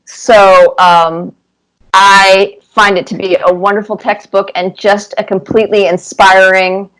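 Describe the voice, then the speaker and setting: A woman's voice: medium pitch, little pitch movement, a little slow, fairly bright tone, poor audio. A woman in her fifties giving casual, thoughtful interview answers as a guest, with little anecdotes. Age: 50s. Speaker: cartoonist guest being interviewed.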